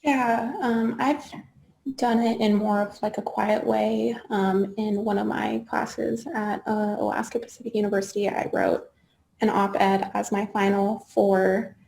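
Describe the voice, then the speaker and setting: A young woman student on a panel, speaking slowly and softly with many fillers. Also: medium pitch, flat delivery, gravelly, a little hiss or hum, rough recording. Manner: slow, filler-heavy, soft. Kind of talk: casual panel conversation.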